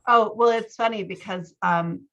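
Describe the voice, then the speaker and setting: A woman's voice: medium pitch, moderate pace, bright, forceful tone, highs cut off. A woman working as a writing coach and podcast host, giving casual, encouraging feedback in conversation. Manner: encouraging, affirming.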